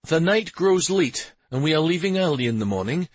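A man voicing an angry, commanding prince bent on justice in a fantasy audiobook, speaking forcefully. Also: expressive, normal speed, no echo. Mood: stern, commanding. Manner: forceful, commanding, low intense speech. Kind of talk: fantasy audiobook character dialogue.

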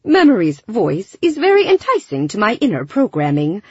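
A woman's voice: medium pitch, huge pitch swings, normal speed, breathy edge, no echo, lo-fi sound. A woman voicing a literal-minded male robot in an audiobook, speaking in a stiff, precise, wordy way. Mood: earnest, formal.

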